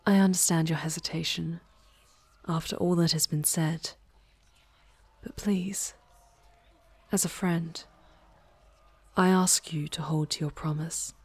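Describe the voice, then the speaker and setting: Actress as ancient proud demoness, slow theatrical delivery, soft breathy tone, formal phrasing, ASMR audio drama. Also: female voice, low voice, fairly even intonation, full character voice. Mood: soft, pleading. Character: proud ancient demoness, long imprisoned, yearning for freedom. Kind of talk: ASMR audio roleplay acting.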